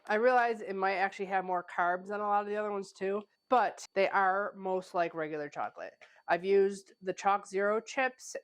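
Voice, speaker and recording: A woman who is a pastry chef hosting a casual home-kitchen cooking tutorial, a little stuffy from a cold, chatting with plenty of "like" fillers. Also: mid-range voice. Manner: chatty, conversational, with frequent "like" fillers. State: stuffy, getting over a cold.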